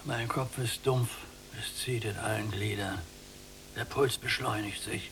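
An actor playing a weary, bitter old prisoner in a German radio drama, speaking in a gravelly, resigned and declamatory way. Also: male voice, on the low side, fairly even intonation, measured pace, noisy background.

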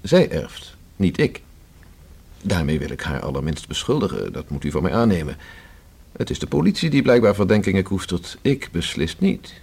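An actor in a Dutch radio play playing a guarded, haughty gentleman under police questioning, speaking in a stiff, precise, formal way. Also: low voice, very animated, normal speed, creaky, slightly rough, heavily acted.

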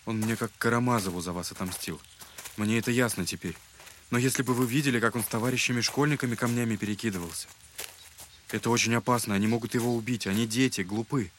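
An actor in an audio drama playing a gentle, earnest, compassionate young man. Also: medium pitch, steady tone, fast, slight background noise, close to an everyday voice. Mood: concerned, earnest. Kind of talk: Russian literary audio drama acting.

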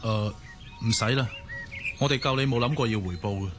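A man playing a wary, sceptical doctor in a radio drama, with an animated, questioning delivery. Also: on the low side, brisk, music in the background, close to an everyday voice. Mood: modest, hesitant. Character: wary, sceptical male doctor, travelling companion. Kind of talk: Cantonese radio drama acting.

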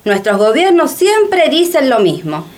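A woman's voice: sits high, huge pitch swings, unhurried, full character voice. A woman playing an impassioned, well-informed denouncer in radio drama dialogue, emphatic and rhetorical. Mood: indignant.

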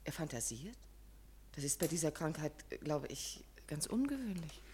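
A woman's voice: low-pitched, sing-song, normal speed, a little mellow, a little hiss or hum. An actress playing a caring, composed woman helper in a 1950s German radio drama, speaking politely and soothingly. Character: caring, composed unmarried woman giving nursing help.

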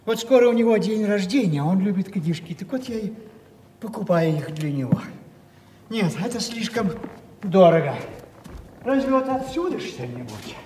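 An actor playing a timid, rambling old father in a theatrical audio play, halting and repeating himself. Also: sits high, animated, mellow. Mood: flustered, eager. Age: older. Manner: hesitant, repetitive, flustered and halting. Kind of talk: theatrical stage acting of a Russian classic. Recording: echoey hall, distant mic, faint noise.

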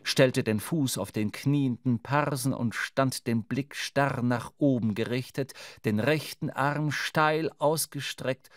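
A man's voice: medium pitch, slow pace, few pauses, no room sound. A man reading scripted literary narration for a radio drama.

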